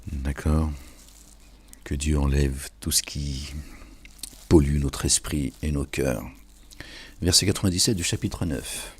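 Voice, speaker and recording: A man's voice: a bass voice, fairly even intonation, slow, long pauses, husky. A man giving an informal, sermon-like reflection on scripture, preaching and addressing his listeners familiarly with frequent check-in questions. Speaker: online religious and political commentator.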